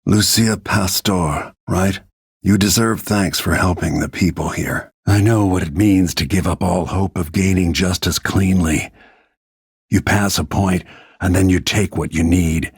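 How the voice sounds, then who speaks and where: A grim middle-aged assassin from a video game. His voice is very husky and rasping, sitting low, with terse, restrained delivery. He sounds world-weary and brooding, recorded close and dry. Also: unhurried, dry recording, heavily acted. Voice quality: gravelly, husky. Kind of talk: scripted video game voice lines.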